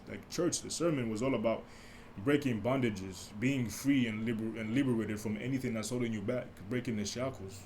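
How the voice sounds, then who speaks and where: A young man with a deep, mellow voice hosting a casual solo podcast, telling a story with many restarts and asides. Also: slight background noise. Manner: rambling, many restarts and asides, speaks softly. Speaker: podcast host.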